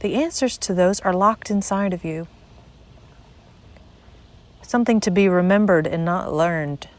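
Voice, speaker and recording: A woman with a slightly low voice leads a guided meditation. She speaks very slowly and deliberately, setting short phrases apart with pauses. The delivery is a measured spiritual monologue. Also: a little mellow.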